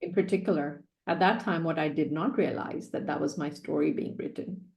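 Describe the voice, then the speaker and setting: Earnest woman in her forties, lowish voice. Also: a little fast, gravelly, a little mellow, roomy sound. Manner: earnest, repeats key phrases for emphasis. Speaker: guest, sales coach. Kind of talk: personal story in a podcast interview.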